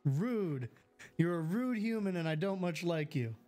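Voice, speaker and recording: A man's voice: high voice, expressive, a little slow, dark tone, faint music behind. Chatty man streaming a game. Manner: chatty, reads chat aloud and answers it. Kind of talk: casual live game stream chat. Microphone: studio mic with filters.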